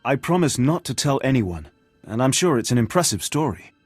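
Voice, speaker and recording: A man playing a courteous, flattering Sith bodyguard in a video game, with a formal, smooth-talking manner. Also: mid-range voice, a little fast, dry recording, faint music behind. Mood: admiring, flirtatious. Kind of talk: scripted video game dialogue.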